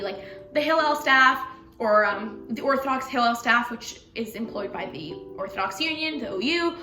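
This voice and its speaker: A young woman whose first language is Russian talks in a quick, chatty way, full of "like"s and fillers. Her voice sits fairly high. The room sounds echoey, and music plays clearly in the background. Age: young adult. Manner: chatty, fast, many fillers. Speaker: student community president. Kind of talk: casual chat.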